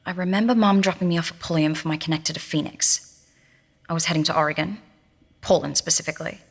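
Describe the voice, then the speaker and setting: Young female prisoner, husky, fretful, distrustful, long reverb, audio-drama voice journal. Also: sits low, fairly even intonation, quick pace. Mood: confused, straining. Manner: fretful, questioning herself, repeating. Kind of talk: found-recording audio drama, spoken journal. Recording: long reverberant room echo.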